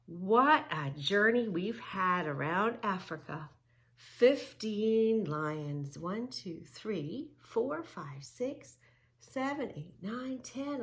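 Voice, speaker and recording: A woman's voice: medium pitch, a bit bright. A woman reading a children's book aloud as a yoga teacher, encouraging young listeners with questions, repetition and simple instructions. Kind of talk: children's read-along with movement instructions and counting.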